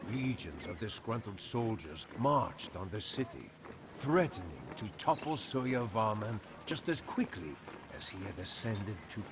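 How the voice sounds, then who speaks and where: A grave military commander tells his tale as formal game narration. His delivery is slow and deliberate, with wide swings in pitch. Noticeable background noise sits behind the voice. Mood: grave, urgent. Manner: grave, measured, formal storytelling. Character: honored old-world Khmer general, solemn, weathered commander. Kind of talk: dramatic scripted game narration.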